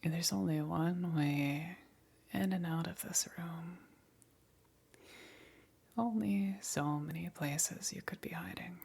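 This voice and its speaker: A woman playing a sadistic, teasing demon queen in a whispered ASMR roleplay, husky and breathy, with drawn-out, taunting, condescending delivery. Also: on the low side, darkish tone, heavily acted. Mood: menacing, playful.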